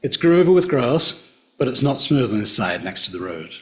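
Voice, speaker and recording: A middle-aged Australian man speaks to a gathered audience in a hotel function room. He retells old folk tales in a measured, unhurried storyteller's manner, at a pitch typical for a man. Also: very animated. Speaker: local history presenter. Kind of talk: public talk retelling folklore.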